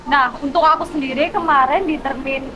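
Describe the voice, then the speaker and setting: Young woman, high voice, student guest, casual explaining, noticeable background noise. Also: steady tone, a little fast. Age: young adult. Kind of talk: casual explanatory interview talk. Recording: clearly noisy background.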